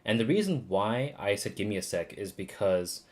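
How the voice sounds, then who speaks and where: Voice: low voice, expressive, normal speed, darkish tone. A game streamer giving casual, informal, slangy live commentary and reading game text aloud.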